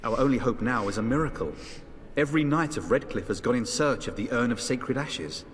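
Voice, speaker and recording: An actor playing an earnest, weary knight who speaks in a formal, courtly way in a fantasy game. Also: male voice, medium pitch, animated, fairly quick, lightly acted. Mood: grave, discouraged. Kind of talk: fantasy video game dialogue. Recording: long reverberant hall, faint noise.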